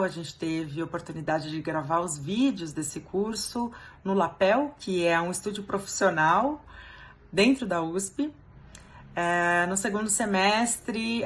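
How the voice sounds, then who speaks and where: A woman giving an upbeat, orderly testimonial as a student teaching assistant. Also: medium pitch.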